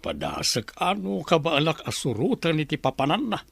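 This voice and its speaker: A man's voice: fairly high pitch, huge pitch swings, fairly quick, gravelly, a bit bright, slightly rough, no room sound, exaggerated voice. A man playing a grumbling old grandfather in an Ilocano radio drama. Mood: grumbling, reproachful.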